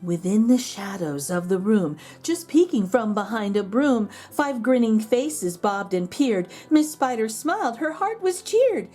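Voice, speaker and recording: A woman narrates a picture book for children in a high, soft-toned mellow voice. She reads at a slow, measured pace with expressive, rhythmic phrasing. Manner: measured rhyming delivery with gentle asides to children. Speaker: children's read-aloud storyteller.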